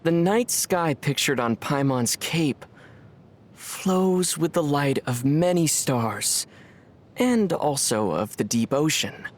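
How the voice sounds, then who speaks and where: A calm young traveler hero. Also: male voice, fairly high pitch. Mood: mock-mystic. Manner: calm, thoughtful, measured. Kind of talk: video game voice acting.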